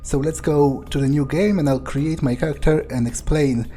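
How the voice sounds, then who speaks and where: A man giving a casual, explanatory introduction as the host of a gameplay series, with hesitant pauses and repeated words. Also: fairly high pitch, fairly even intonation, mellow, soft background music. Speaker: let's play host.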